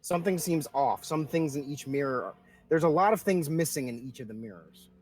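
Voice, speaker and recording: A man's voice: fairly high pitch, fairly quick, darkish tone. Informal male game master. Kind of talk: narrating a tabletop roleplaying game.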